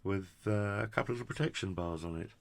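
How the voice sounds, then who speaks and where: A man's voice: lowish voice, fast, vocal fry. A British man presenting a casual hands-on product review in a chatty, jokey, effusive way with quaint turns of phrase. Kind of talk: casual hands-on unboxing commentary.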